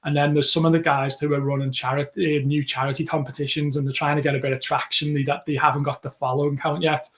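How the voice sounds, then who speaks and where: A man with a Liverpool accent, co-hosting a podcast in relaxed, rambling chat. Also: on the high side, quick pace, hardly any pauses. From England. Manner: chatty, rambling with frequent restarts. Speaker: podcast co-host.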